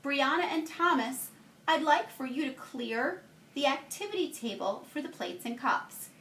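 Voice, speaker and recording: A woman's voice: sits high, lively intonation, measured pace, a bit bright, a little hiss or hum, heavily acted. A woman voicing a kindly, orderly primary school teacher from a picture book, giving polite instructions. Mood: brisk, kindly. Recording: roomy, echoey home-style recording.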